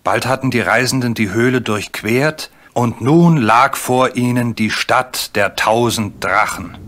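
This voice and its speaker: A man narrating a children's story from a script, with measured, dramatically drawn-out phrasing. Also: medium pitch, fairly bright tone. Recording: clearly noisy old recording.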